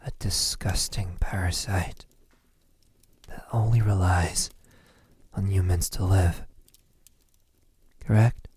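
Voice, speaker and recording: A man playing a lovesick, possessive, centuries-old vampire in an ASMR roleplay, brooding and wounded, in a husky, breathy voice that often sinks to a whisper, with halting pauses. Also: sits low, a little mellow, exaggerated voice. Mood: cold, bitter. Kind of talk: ASMR roleplay, slow dramatic monologue.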